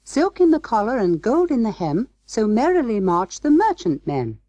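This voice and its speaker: A storybook narrator voicing tiny, mischievous mice in a small, shrill, sing-song voice. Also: wildly swooping pitch, normal speed, dark tone, no echo. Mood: merry, gaily chanting. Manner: sing-song chanting of rhymes.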